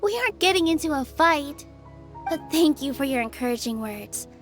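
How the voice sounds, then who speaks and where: A woman playing a sincere, gentle but determined young dancer in a video game, polite and careful, over game music. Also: sits high, expressive, normal speed. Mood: hopeful, determined. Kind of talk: scripted video game voice acting.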